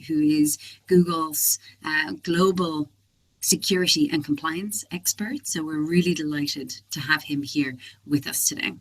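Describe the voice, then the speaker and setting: A woman's voice: lowish voice, a bit bright, low-quality recording. A young adult woman hosting and introducing a webinar in an organized, friendly way. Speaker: webinar host.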